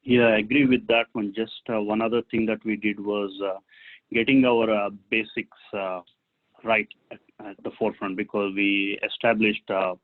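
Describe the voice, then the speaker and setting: Flat low male voice on tinny line. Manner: halting, piecing phrases together. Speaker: webinar panelist. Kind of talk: unscripted business panel talk. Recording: narrow tinny phone-like line.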